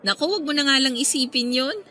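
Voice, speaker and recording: A woman's voice: high voice, bright, forceful tone, full character voice. A woman playing a self-doubting, burdened young woman in a Filipino radio drama, speaking with a Filipino accent in a reluctant, confiding manner.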